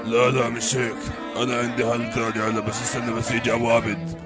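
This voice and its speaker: A man playing a pushy, joking young schemer who speaks fast. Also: mid-range voice, completely flat delivery, over loud music, natural voice.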